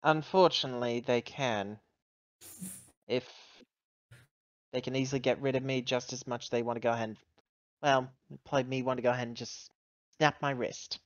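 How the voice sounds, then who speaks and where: A player voicing a pragmatic, world-weary ship's officer with measured, plain speech in a tabletop sci-fi game. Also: medium pitch, fairly even intonation, dry recording, dull audio, close to an everyday voice. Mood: resigned.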